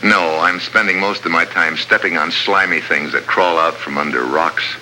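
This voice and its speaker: A hard-boiled American secret agent in a 1950s radio adventure. His voice sits very low with a hard, biting edge. He talks in wry, clipped wisecracks, over faint noise on an old recording. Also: normal speed, sharp tone, poor audio. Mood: sardonic.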